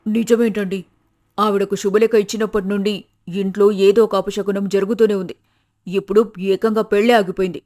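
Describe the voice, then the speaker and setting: A man voicing a superstitious, sharp-tongued middle-aged mother who complains, in an animated moral story. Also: high-pitched, normal speed, close to an everyday voice. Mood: accusing.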